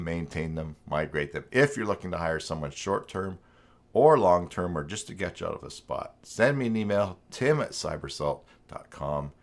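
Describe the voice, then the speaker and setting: A man hosting a casual web design live stream, rambling and self-deprecating, his voice croaky from a recent illness with an occasional cough. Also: low voice.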